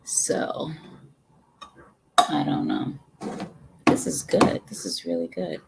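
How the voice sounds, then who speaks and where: Low, gravelly New York woman chatting slowly. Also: animated, very noisy. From the Bronx, New York. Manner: chatty, rambling, talks to viewers between sips. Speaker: livestream host. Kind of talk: casual livestream chat. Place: home room with brick wall.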